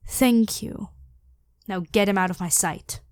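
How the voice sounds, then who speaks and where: A woman's voice: medium pitch, unhurried, darkish tone, dry recording, clean studio sound, lightly acted. A woman voicing a devoted, protective royal knight, head of the guard, with formal, deferential speech in a scripted ASMR roleplay. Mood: firm, indignant. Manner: formal, deferential address to a monarch.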